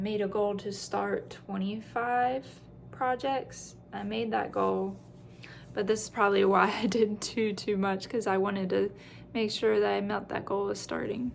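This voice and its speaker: A woman's voice: medium pitch, gravelly, slight background noise. A woman chatting casually through a craft show-and-tell as host, in a rambling way with self-deprecating asides.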